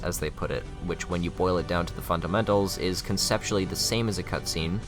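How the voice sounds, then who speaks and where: A young man delivers scripted video essay narration. His voice is low and he moves along quickly, with faint music underneath.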